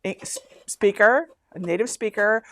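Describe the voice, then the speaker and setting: A woman's voice: medium pitch, normal speed, a bit bright, a little hiss or hum. A chatty woman in her fifties from Michigan, hosting a casual live stream and rambling as she reads instructions aloud. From Michigan, USA. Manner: chatty, rambling, laughs often. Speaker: host.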